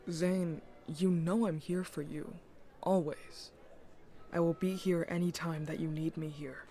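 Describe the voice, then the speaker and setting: High-voiced, eager young nobleman. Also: steady tone, unhurried, dark tone. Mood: sincere, loyal. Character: friendly, teasing young royal cousin, loyal, eager. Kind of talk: audio drama acting.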